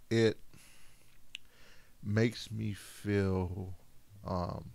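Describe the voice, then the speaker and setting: A man co-hosting a podcast with his wife, talking casually with a relaxed, hesitant, teasing manner. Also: deep voice, gravelly, dry recording. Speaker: podcast co-host. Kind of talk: casual chat between spouses.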